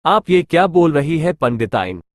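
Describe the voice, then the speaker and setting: A man's voice: on the high side, sing-song, normal speed, no echo, studio quality. A man voicing a greedy, cunning village merchant in a cartoon story, with a sly, wheedling way of talking. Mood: accusing. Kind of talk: Hindi cartoon story dialogue.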